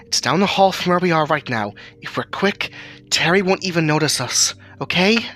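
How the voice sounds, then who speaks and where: A man's voice: a little high, animated, moderate pace, pushed and bright, voice pushed up. A man voicing a determined, exasperated young woman who speaks urgently and scoldingly in an audio drama. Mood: breathless, determined. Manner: urgent, scolding, sometimes breathless or hissing. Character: determined young woman, usually meek, now bold and exasperated.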